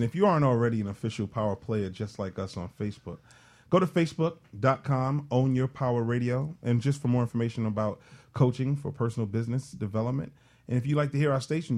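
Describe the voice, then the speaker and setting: An American man with a mid-pitched voice co-hosts a casual radio talk show. He chats like an animated storyteller, joking easily as he goes. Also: quick pace. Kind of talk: casual chat.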